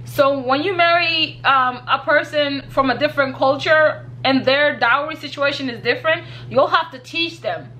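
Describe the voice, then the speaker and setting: Bright high-voiced young woman. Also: normal speed, hardly any pauses, poor audio. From Kenya. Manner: earnest, repeats herself, laughs lightly. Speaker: YouTuber. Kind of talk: casual advice vlog.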